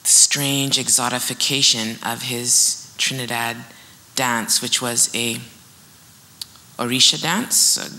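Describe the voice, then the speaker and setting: A woman moderating a panel informally, reflecting aloud and pausing between phrases. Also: extremely low pitch, fairly even intonation, sharp tone, poor audio. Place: indoor event stage.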